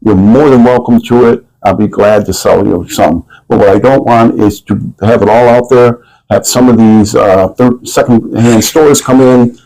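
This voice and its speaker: A man's voice: lowish voice, steady tone, normal speed, dark tone, rough recording. A man from Lithuania, a sommelier guest, explaining things in casual conversation.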